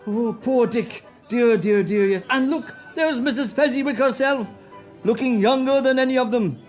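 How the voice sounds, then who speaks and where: High-voiced old miser, man doing him, stammers and quavers, radio play, faint music behind. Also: moderate pace. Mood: delighted. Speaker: amateur radio play actor. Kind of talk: radio drama acting.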